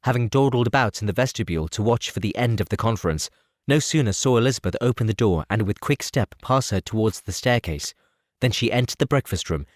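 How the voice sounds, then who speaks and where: A man narrating a classic novel as an audiobook in a steady, expressive reading. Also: fairly low pitch, no echo, clean studio sound. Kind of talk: scripted narration.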